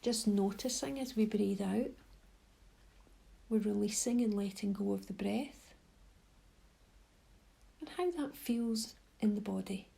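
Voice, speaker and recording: A woman leading a guided meditation in a soft, breathy voice, giving gentle, unhurried instructions with pauses. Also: medium pitch. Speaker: mindfulness guide.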